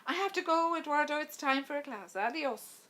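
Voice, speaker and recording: A woman voicing a brisk, unyielding, matter-of-fact wife in an audiobook story. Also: sits high, animated, a bit bright, pitched above the performer's own voice. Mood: brisk, curt. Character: brisk, unyielding Spanish wife, calmly argumentative.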